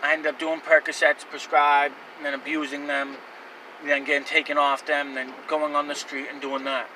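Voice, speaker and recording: A man's voice: on the high side, flat delivery, moderate pace, far from the mic, background noise. A man in his twenties telling his own life story to camera in a rambling, candid way, with fillers and restarts. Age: 20s. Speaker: vlogger.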